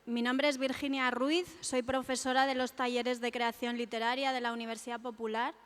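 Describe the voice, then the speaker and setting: A woman's voice: fairly high pitch, little pitch movement, moderate pace, fairly bright tone. A woman introducing a speaker at a public talk, a little nervous and informal. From Spain. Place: lecture hall. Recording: long hall reverb.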